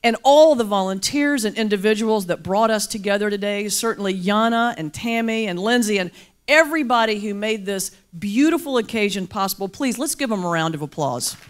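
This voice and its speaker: A woman from the mountains of North Carolina with a Southern accent, a pastor and comedian giving a lively, humorous keynote speech to a large luncheon crowd. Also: medium pitch, brisk. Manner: lively, playful, folksy with audience call-and-response. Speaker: pastor and comedian, guest speaker. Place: large banquet hall before a crowd.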